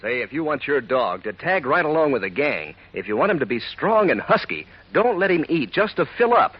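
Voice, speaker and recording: An American man announcing a 1940s radio commercial, pitching chummily and emphatically to children. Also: sing-song, a little fast, breathy edge. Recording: muffled old radio recording, music.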